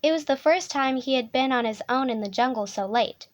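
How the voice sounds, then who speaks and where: A woman with a high voice reads a children's story aloud. Her delivery is soothing and expressive, shaped for a young listener at bedtime. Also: a little fast. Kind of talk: animated children's storybook reading.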